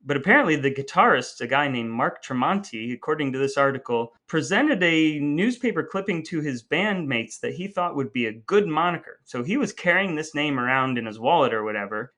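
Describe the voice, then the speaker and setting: A man's voice: fairly high pitch, animated, moderate pace, sharp tone. A man co-hosting a podcast in casual, humorous chat, with a wry, mock-serious way of talking. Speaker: podcast co-host.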